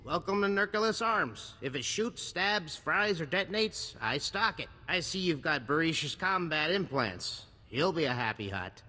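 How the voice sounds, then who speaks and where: Sharp, bright wisecracking arms dealer. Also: male voice, fairly high pitch, animated, measured pace, few pauses, in a large hall, faint music behind, heavily acted. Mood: slick, cocky. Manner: slick, sardonic salesman patter. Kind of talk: video game cutscene acting.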